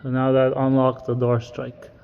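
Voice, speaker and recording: A man's voice: medium pitch, completely flat delivery, moderate pace, vocal fry, dull audio, background noise. A man instructing in an informal, step-by-step way, as in a casual hands-on tutorial. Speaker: instructor demonstrating equipment.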